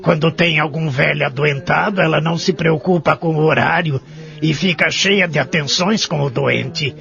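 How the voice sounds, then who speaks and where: An actor playing a stubborn, skeptical old man in a care home, with a Brazilian accent and a creaky voice, in a radio drama. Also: on the high side, normal speed, fairly bright tone. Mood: grudging. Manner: questioning, doubtful. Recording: dull lo-fi audio drama recording.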